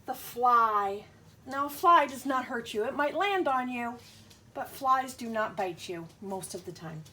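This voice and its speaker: A woman's voice: on the high side, expressive, unhurried, bright, forceful tone. A woman teacher reading a picture book aloud, explaining things simply and putting questions to young children. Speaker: schoolteacher reading aloud to pupils.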